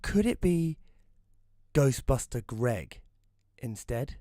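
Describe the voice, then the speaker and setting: A man's voice: mid-range voice, big pitch swings, slow pace, warm tone. A man playing a self-doubting young magazine columnist who narrates an audio drama in a wry, hesitant manner. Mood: nervous, polite. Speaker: narrator and lead character. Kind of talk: first-person audio drama narration and dialogue.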